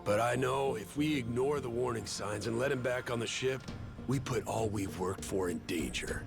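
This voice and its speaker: A man's voice: medium pitch, moderate pace, creaky, lightly acted. A man playing a wary, hard-bitten middle-aged pirate crewman with a grave, plain-spoken delivery in a video game cutscene. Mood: resigned, insistent. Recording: game music behind the voice.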